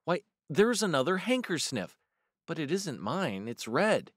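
A man's voice: a little high, very animated, measured pace, husky, no echo, clean studio sound, voice pushed up, exaggerated voice. A man reading a storybook aloud and voicing a polite, curious little farm girl asking earnest questions.

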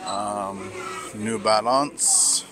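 A man vlogging casually as he browses sneaker stores in a mall, talking slowly and musing to himself with lots of fillers. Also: lowish voice, steady tone, over music. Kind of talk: casual walk-through commentary.

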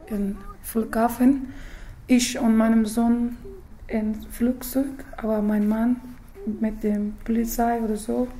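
A woman from Afghanistan talks in careful, halting German, often stopping to search for words. Her voice stays level, with little rise and fall. There is a faint hum of ambience behind her. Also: fairly high pitch, level tone, warm tone, rough recording. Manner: halting non-native German with frequent hesitations. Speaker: journalist interviewee. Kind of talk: documentary interview.

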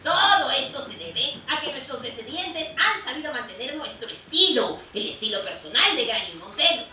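A woman's voice: high-pitched, sing-song, moderate pace, pushed and bright, exaggerated voice. A woman voicing a cheerful, earnest young male bricklayer puppet in an upbeat, exaggerated live puppet show. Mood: proud. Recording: echoey open shed, distant mic, noisy.

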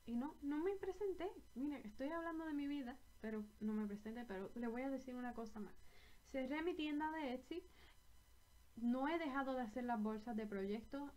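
A woman's voice: high voice, expressive, moderate pace, darkish tone. A young woman with a Puerto Rican accent chatting to camera from a small bedroom about her knitting, rambling and correcting herself as she goes. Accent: Puerto Rican Spanish. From Puerto Rico. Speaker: knitting vlogger. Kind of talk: casual chat to camera.

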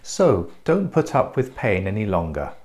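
A man in his forties presenting a clinic's treatment in a measured, explanatory scripted voice, recorded on a lapel mic in a bright treatment room. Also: fairly low pitch, big pitch swings, gravelly. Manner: measured, explanatory, pointing things out.

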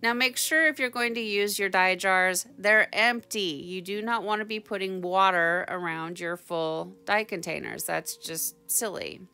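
A woman's voice: mid-range voice, unhurried, creaky, few pauses, pushed and bright, clean tone, soft background music. A woman giving a chatty, informal instructional voice-over as a craft tutorial host. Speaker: tie-dye tutorial host.

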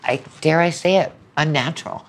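A woman's voice: sits low, moderate pace, slight background noise. A woman talking casually as a guest on a podcast. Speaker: podcast guest, university lecturer. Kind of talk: casual conversation.

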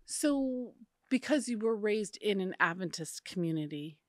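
A woman's voice: medium pitch, animated, a little slow, dry recording, studio quality. A woman with an American accent hosting an interview in a casual, conversational style. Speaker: interview host.

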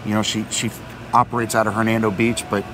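A man's voice: on the low side, flat delivery, quick pace. Middle-aged man, casual talk, rambling with fillers, outdoor marina, noisy background. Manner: talkative, repeats words, many fillers. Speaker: vlog co-host. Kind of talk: casual explanatory chat. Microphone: fixed camera at a few meters. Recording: outdoor noise behind.

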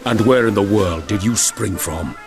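A man's voice: on the low side, moderate pace, music in the background, natural voice. An actor playing an imperious, suspicious emperor in a fantasy game, blustering and formal in his commands. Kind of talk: fantasy game cutscene acting.

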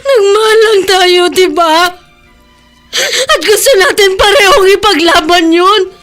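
A woman's voice: very high-pitched, measured pace, fairly bright tone, soft background music. An actress playing a crying, desperate young woman pleading about her love in a radio drama. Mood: tearful. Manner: pleading, tearful.

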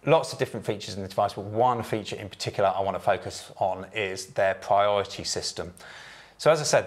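A man in his forties giving an informal, conversational product demonstration, explaining clearly as a presenter. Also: on the low side. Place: office meeting room, indoors. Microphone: lapel.

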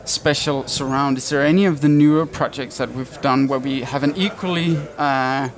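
A man's voice: medium pitch, steady tone, moderate pace. A man in his thirties hosting a conversational interview, asking curious questions and thinking aloud as he goes. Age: 30s. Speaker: interview host. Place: glass-walled booth at a trade show hall. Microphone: headset.

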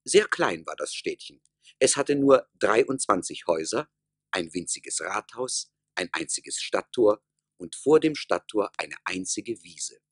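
A male storyteller narrating a fairy tale for a radio play. His voice is fairly low and husky, recorded very dry, with measured, wry delivery. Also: sing-song, no echo.

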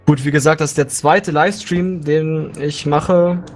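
A man's voice: fairly high pitch, fairly even intonation, a little slow, faint music behind. A man hosting a live stream in casual, jokey chat.

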